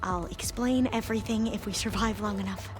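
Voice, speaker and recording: An actress playing a wary, candid companion in a video game, speaking in a guarded, conversational way. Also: female voice, medium pitch, steady tone, brisk, music in the background, close to an everyday voice. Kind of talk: video game cutscene acting.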